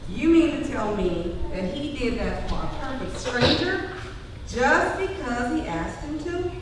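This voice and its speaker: A woman plays a chatty, doubting listener in a church drama, questioning in an informal way. Her slightly high voice comes from far off in a very echoey hall. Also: lively intonation, a little slow. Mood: puzzled. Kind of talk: conversational scripted church drama. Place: church hall, live stage performance. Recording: distant echoey hall, faint noise.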